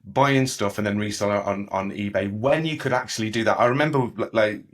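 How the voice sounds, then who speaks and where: A man's voice: lowish voice, fairly quick. A man in his forties hosting a podcast, upbeat and welcoming as he talks with his guest. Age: 40s. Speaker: podcast host. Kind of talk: podcast interview.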